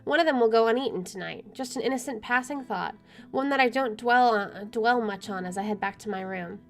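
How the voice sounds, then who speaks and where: A woman's voice: a little high, a little fast. A woman streaming a game, reading visual novel narration aloud and adding casual asides. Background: soft music.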